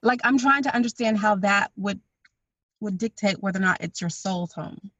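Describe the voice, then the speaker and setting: A woman's voice: mid-range voice, normal speed, a bit bright, no room sound. A woman hosting an interview, asking curious, probing questions. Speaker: interview host. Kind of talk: conversational interview. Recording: dry, dull lo-fi audio.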